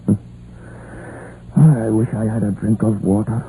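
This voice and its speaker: An actor playing a stubborn, loyal young Welsh miner in a 1950s radio drama, wistful and argumentative, with a Welsh accent. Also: male voice, fairly low pitch, unhurried, lightly acted. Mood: defensive, bitter. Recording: noisy, muffled vintage radio recording.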